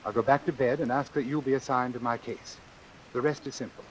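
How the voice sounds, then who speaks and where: An actor playing a calculating, formal doctor who deliberately and persuasively arranges his own death in an old-time radio suspense drama. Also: mid-range voice, fairly even intonation, close to an everyday voice, low-quality recording. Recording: hissy vintage radio recording with rain. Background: hiss and falling rain.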